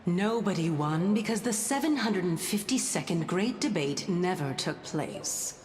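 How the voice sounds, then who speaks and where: A woman's voice: on the low side, lively intonation, a little slow, darkish tone. Languid teasing female sage, over music. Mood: composed, mysterious. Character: teasing, enigmatic female sage, unhurried and condescending. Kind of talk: English video game dub acting. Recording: game music behind dialogue.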